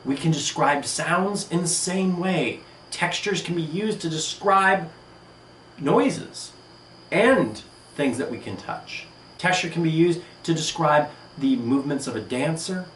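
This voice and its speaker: A man with a slightly high voice presents an instructional art lesson. He speaks emphatically in short phrases, some way from the mic in an echoey room with faint noise. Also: animated, measured pace, fairly bright tone, distant mic. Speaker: art teacher.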